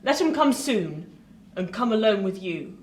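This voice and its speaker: A woman's voice: medium pitch, big pitch swings, a little slow. A woman playing an imperious, morbid old jilted lady in a Victorian radio play, haughty and theatrical. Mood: cold, commanding. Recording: roomy, distant, noisy home recording.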